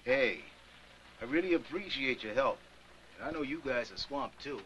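A man's voice: mid-range voice, lively intonation, normal speed, a bit bright, close to an everyday voice. A middle-aged actor playing a conciliatory, cordial businessman on the phone in a 1980s training film. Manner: apologetic, cordial. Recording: faintly noisy 1980s film soundtrack.

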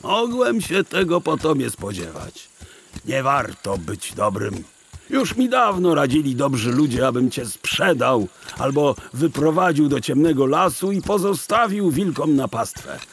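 A man's voice: medium pitch, big pitch swings, measured pace, slight background noise. An actor playing a kindly, exasperated old scholar in an audio drama, wordy and theatrical as he pleads and mock-scolds. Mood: mock anger.

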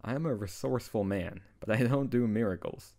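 Man acting a low-voiced tsundere wizard. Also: brisk, creaky, darkish tone. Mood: grudgingly caring. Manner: exasperated, bossy, caring, with sighs. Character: tsundere young male wizard, scolding but tender best friend. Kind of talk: intimate ASMR audio roleplay addressed to the listener.

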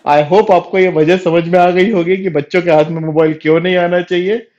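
A man's voice: high-pitched, steady tone, a little fast, a little mellow, lo-fi sound. A man in his thirties hosting a comedy channel, talking straight to viewers in a living room. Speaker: comedy video host. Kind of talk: direct-to-viewer sign-off.

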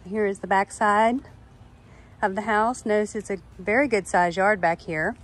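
A woman's voice: mid-range voice, steady tone, moderate pace. A woman working as a real estate agent, narrating an informal walk-through of a property. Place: walking through a house and its yard.

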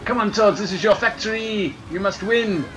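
Guy doing a silly fake french accent over a kart race, high bright voice, faint music behind. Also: moderate pace. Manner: excitable, hammy, exclaiming and laughing at his own jokes. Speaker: let's play gamer. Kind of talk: comic gameplay commentary in a put-on accent.

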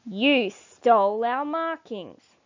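A woman voicing an upset, demanding jungle animal in a storybook, loud and wailing. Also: fairly high pitch, lively intonation, slow, no echo, dull audio. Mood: indignant. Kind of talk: read-aloud children's storybook.

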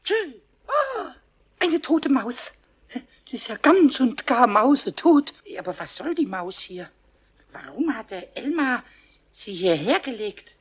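A man voicing a small, mischievous, excitable kobold in a radio play, squeaky and stammering as he repeats his words. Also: slow, slightly rough, full character voice. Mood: puzzled, realizing.

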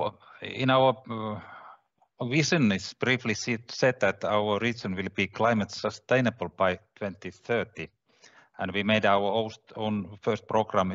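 A man in his sixties with a Finnish accent, giving halting, measured prepared remarks from a home office over a headset on a video call. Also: fairly low pitch, fairly bright tone. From Finland. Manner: measured, halting non-native English. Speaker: regional politician. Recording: muffled video call audio.

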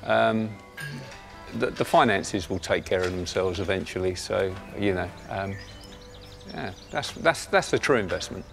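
A middle-aged man speaks calmly and encouragingly as he helps on a build. His voice is fairly low with a gravelly edge, and clear music plays behind him. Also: unhurried. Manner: calm, encouraging. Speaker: father helping build. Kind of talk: casual conversation.

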